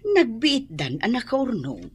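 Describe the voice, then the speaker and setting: An actress playing a practical older landlady and mother in a radio drama, with a husky voice and an Ilocano accent. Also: on the high side, wildly swooping pitch, a little slow, exaggerated voice. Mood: matter-of-fact.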